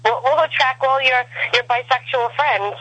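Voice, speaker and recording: Bright, husky, teasing woman friend. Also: sits high, measured pace, no echo, lo-fi sound. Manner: teasing, laughs easily. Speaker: co-host friend. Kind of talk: casual chat.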